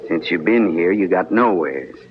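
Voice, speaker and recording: Casting: hired killer, radio western. Deep, terse, rustic drawl, menacing, on a noisy old broadcast. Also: male voice, expressive, normal speed. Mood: cold, goading. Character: menacing hired gunman from the cattle country. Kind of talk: 1950s radio western acting. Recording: noisy dull vintage radio recording.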